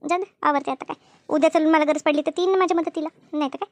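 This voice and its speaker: Cartoon village housewife played by a woman: extremely high voice, quick chatter, helpful, nosy, repeats herself. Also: fairly even intonation, no room sound. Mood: busy, agreeable. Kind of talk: animated cartoon comedy dialogue.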